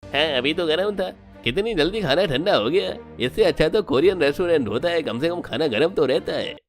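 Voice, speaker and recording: A man's voice: a little high, animated, a little fast, faint music behind, close to an everyday voice. A man playing a cheeky, opportunistic local trader in a cartoon. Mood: complaining. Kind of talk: scripted cartoon dialogue.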